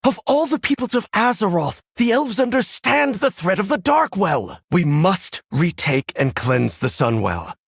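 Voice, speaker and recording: An actor playing a determined young elf noble in a video game, earnest, formal and impassioned. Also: male voice, high voice, sing-song, a little slow, gravelly, few pauses, breathy edge, no room sound, studio quality, exaggerated voice. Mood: rallying resolve. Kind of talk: dramatic video game voice acting.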